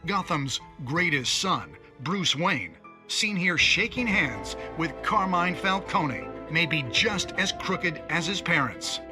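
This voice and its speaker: An actor playing an opinionated TV news commentator, delivering scripted reports in a pointed, wry, dramatic manner. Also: male voice, a little high, lively intonation, slow pace, music in the background. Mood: grave, pointed. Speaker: news presenter.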